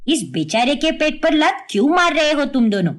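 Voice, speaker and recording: Narrator doing an outspoken scolding housewife woman from a cartoon, high voice, very animated. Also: normal speed. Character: outspoken, thrifty housewife who scolds her menfolk.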